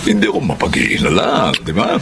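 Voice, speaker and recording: An actor playing a scheming rich crime boss in a radio drama, gravelly and condescending, drawing out his words. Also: low-pitched, huge pitch swings, fairly quick, heavily acted. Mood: smug, businesslike. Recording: noisy radio drama track.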